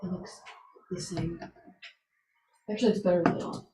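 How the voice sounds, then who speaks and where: A woman's voice: on the low side, steady tone, moderate pace, warm tone, faint music behind. A woman in casual, off-the-cuff chat. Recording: distant, roomy mic with faint noise.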